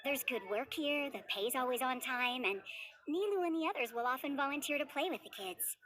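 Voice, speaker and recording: An actress playing a gentle widowed mother in a game, content and quietly resilient, speaking in a calm, measured, reassuring way. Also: high voice. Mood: gentle, wistful. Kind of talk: scripted game dialogue.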